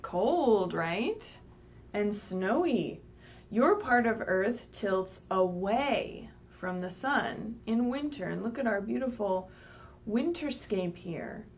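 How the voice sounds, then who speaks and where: A woman's voice: mid-range voice, expressive, slow pace. A woman leading a children's story time, reading aloud in a sing-song way and patiently explaining in simple words with frequent questions to the listeners. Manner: sing-song, asks questions and checks in with "right?". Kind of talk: read-aloud picture book story time for young children. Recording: distant mic, faint background noise, livestream.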